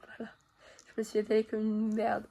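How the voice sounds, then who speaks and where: A woman's voice: mid-range voice, monotone, moderate pace, vocal fry, mellow. A woman vlogging from her bedroom at home with a stuffy nose from a cold, chatting straight to her viewers with plenty of fillers. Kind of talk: casual chat to camera.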